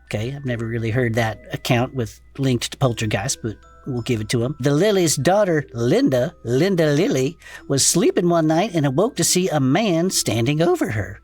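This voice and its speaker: A performer playing an irreverent, excitable non-human cryptid podcaster in a comedic audio drama, talking in folksy slang. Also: mid-range voice, normal speed, soft background music, lightly acted. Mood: storytelling. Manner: folksy slangy casual speech, chuckling. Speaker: co-host of a cryptid discussion show. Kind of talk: improvised comedic audio fiction banter.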